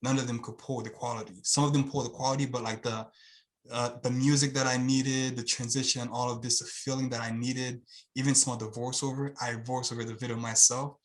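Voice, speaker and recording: A man's voice: mid-range voice, flat delivery, fairly quick. A man from Haiti with a Haitian accent, a guest talking on a video call in an earnest, rambling way with lots of fillers. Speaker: guest, channel owner. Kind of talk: casual video-call interview.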